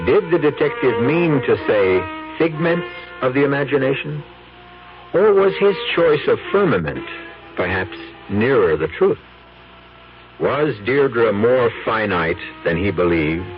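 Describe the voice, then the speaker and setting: A man's voice: medium pitch, sing-song, measured pace, music in the background. A man hosting a radio mystery with theatrical, ominous, rhetorical scripted narration. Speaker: host and narrator. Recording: dull vintage radio recording.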